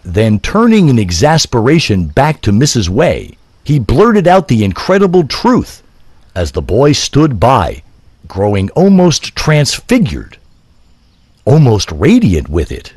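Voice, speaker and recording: Male storyteller: husky, animated, ornate theatrical narration. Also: medium pitch, slow, no echo. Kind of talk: dramatic scripted story narration.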